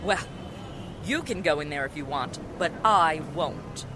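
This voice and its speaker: A woman's voice: low-pitched, animated, measured pace, noisy background. A woman playing a haughty, self-righteous small-town housewife with an emphatic manner in a 1940s-style radio drama. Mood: indignant, disdainful.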